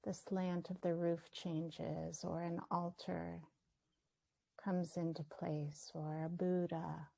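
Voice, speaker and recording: A woman leading a guided meditation, speaking gently and leaving long pauses between her phrases. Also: lowish voice, little pitch movement, gravelly. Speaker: meditation teacher.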